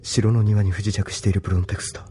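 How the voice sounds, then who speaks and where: A man's voice: lowish voice, flat delivery, fast, mellow, lightly acted. A man playing an earnest young resistance pilot in an anime audio drama, solemn and determined in delivery. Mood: grim, determined. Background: background music.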